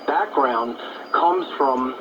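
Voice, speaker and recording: A man's voice: mid-range voice, extremely slow. An American man from Philadelphia speaking politely as a guest on a talk show. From Philadelphia, United States. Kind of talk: polite introductory interview talk. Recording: muffled radio broadcast with clear static.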